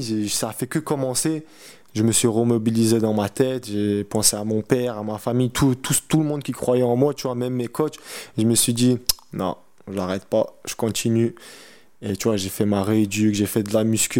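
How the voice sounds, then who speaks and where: A teenage man of eighteen being interviewed about his sport. He talks in a casual, colloquial way and often repeats a phrase to drive it home. His voice sits at an ordinary middle pitch. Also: a little mellow. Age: 18 years old. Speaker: athlete interviewee, wrestler. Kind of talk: casual chat.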